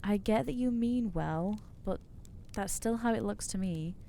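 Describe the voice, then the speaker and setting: Nervous young vampire girl voiced by a woman, soft mellow voice, keeps trailing off and putting herself down, asmr roleplay. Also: medium pitch, measured pace, creaky, slight background noise. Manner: hesitant, self-deprecating, trailing off. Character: anxious self-loathing young female vampire.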